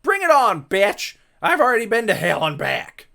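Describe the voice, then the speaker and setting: Casting: male actor as brash dead young soldier, high and forceful, crude, melodramatic, visual novel comedy. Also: wildly swooping pitch, normal speed, gravelly, full character voice. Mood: defiant, crude. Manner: shouty, melodramatic, swearing. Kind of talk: dramatic reading of a visual novel.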